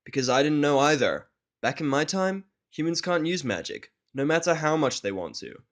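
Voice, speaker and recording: A man voicing a sullen, sarcastic, foul-mouthed human outcast in an audio drama, with a gruff, dry, grudging manner. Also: fairly high pitch, big pitch swings, moderate pace, pitched above the performer's own voice. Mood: matter-of-fact. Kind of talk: audio drama of fan fiction.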